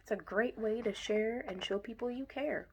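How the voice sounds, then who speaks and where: A woman reading a children's picture book aloud in an expressive way, with deliberate emphasis. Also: fairly high pitch, a little hiss or hum. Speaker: story reader.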